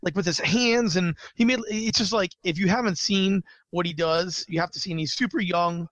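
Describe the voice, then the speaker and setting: A man's voice: sits high, moderate pace, fairly bright tone, no echo. A man, a small business owner, chatting as a podcast guest, rambling with lots of fillers and restarts. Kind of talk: casual interview chat.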